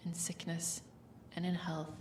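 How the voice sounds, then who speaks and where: Royal wedding officiant voiced by a woman, calm ceremonial phrasing, far from the mic with faint noise. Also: on the low side, reverberant, lightly acted. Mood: solemn. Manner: formal, measured phrases. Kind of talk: audio roleplay drama acting.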